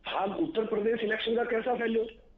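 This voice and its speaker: A man's voice: sits high, fairly even intonation, brisk, a little hiss or hum. A man, a party spokesman in a television debate, rebutting point by point with rhetorical questions over a tinny connection. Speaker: political party spokesman, panel guest. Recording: tinny phone-like remote connection.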